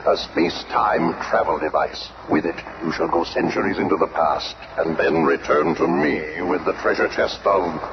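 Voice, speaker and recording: An imperious supervillain from a radio play, grandly sinister and commanding. The voice is very deep with a gravelly creak, and the recording is dull and thick with noise. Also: male voice, normal speed, few pauses. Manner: pompous, commanding. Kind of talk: radio drama acting.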